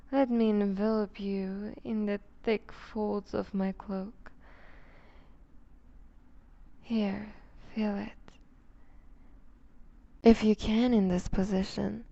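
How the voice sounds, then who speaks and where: Creaky, slow-talking seductive vampire duchess, played by a woman. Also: mid-range voice, mellow, muffled recording. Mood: haughty, teasing. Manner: velvety teasing, condescending, unhurried, often dropping to whispers. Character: seductive, dominant vampire duchess, predatory and possessive. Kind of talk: ASMR roleplay, intimate scripted monologue.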